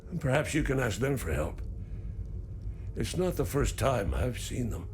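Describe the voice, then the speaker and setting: An actor playing a weary, stoic older farmer in a video game, grieving and embittered by war, speaking in a measured way. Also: male voice, medium pitch, sing-song, a little hiss or hum, close to an everyday voice. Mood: helpful, subdued. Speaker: non-player character. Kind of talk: video game dialogue acting.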